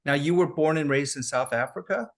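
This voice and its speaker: A man's voice: a little high, brisk. A man hosting a remote interview, asking long questions with hesitant restarts. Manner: hesitant, frequent fillers and restarts. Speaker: interview host.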